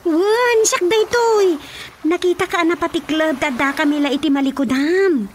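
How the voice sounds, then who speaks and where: Very high-pitched friendly village woman played by an actress. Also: normal speed, natural voice. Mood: friendly, explaining. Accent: Ilocano. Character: friendly village woman, an older-sister type. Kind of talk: radio drama acting. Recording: noisy ambience behind the voice.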